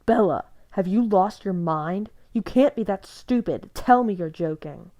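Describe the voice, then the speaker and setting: A woman's voice: low voice, moderate pace, mellow. Woman voicing a hotheaded young man. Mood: furious. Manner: blunt, mocking, then furious.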